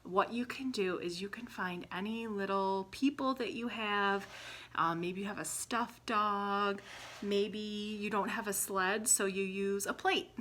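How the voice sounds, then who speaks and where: Bright-voiced, unhurried woman teaching young children. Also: mid-range voice, slight background noise. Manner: simple child-directed talk with frequent questions to the listener; animated, acting out exclamations and sounds. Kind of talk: children's story retelling with props.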